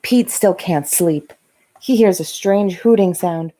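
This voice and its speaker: A woman narrating a children's bedtime storybook with gentle, dramatic emphasis for young listeners. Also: on the low side, slow. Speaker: host of a bedtime story read-aloud channel.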